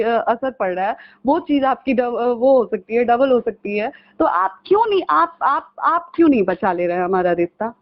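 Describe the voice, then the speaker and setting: A young woman, arguing on a recorded phone call in an insistent, pleading way and repeating herself. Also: sits high. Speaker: caller. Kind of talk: heated private phone conversation.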